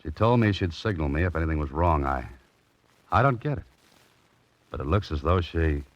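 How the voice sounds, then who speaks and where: A man's voice: rumbling low, animated, moderate pace. A man playing a cool, wry American amateur detective in a 1940s radio mystery, clipped and understated. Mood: puzzled, terse. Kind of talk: 1940s radio drama narration and acting. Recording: dull old radio recording.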